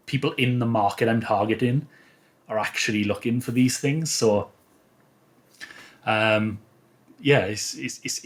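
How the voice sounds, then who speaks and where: A man speaking as a guest author in a casual interview, explaining things in a thoughtful, halting way. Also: medium pitch.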